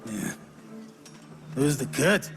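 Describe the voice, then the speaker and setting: Blunt, grumpy dwarf. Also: male voice, medium pitch, expressive, very slow, background noise. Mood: surly sarcasm. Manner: blunt, sardonic. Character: grumpy, defiant, boozy dwarf engineer. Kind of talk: video game cutscene acting. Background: clear background music.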